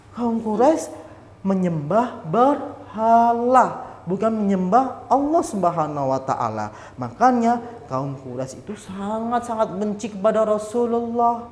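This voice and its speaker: Slow, echoey male children's storyteller. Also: sits high, a little hiss or hum. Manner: slow, lively, quizzing the children. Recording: echoey hall with faint ambience.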